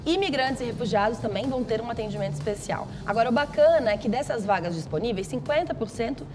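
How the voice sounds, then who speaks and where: A Brazilian woman delivers morning TV news in a conversational way. She talks fast in a slightly high voice, with music clearly playing behind her. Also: expressive. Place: television studio.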